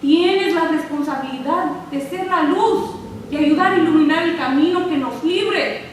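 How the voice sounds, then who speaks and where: A woman playing a wise, steadfast Mexican American grandmother in a staged reading, speaking in measured, earnest English mixed with Spanish. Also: extremely high pitch. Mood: consoling, steadfast. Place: theater stage, recorded from the audience. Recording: echoey hall from far off, faint noise.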